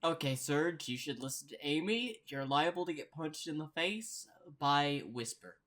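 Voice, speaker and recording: A man's voice: on the high side, lively intonation, normal speed, a bit bright. A man hosting a reaction video with casual, rambling commentary, easily amused.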